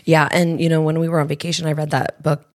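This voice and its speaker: A woman in her thirties with a raspy voice, chatting candidly as a podcast co-host and health coach, with plenty of fillers. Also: sits low, little pitch movement, quick pace, dry recording, studio quality. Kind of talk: casual podcast chat.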